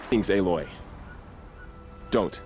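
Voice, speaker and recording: An earnest, reserved warrior in a game cinematic. His voice is low and plain-spoken, with faint music behind it. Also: extremely slow. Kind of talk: video game cinematic dialogue.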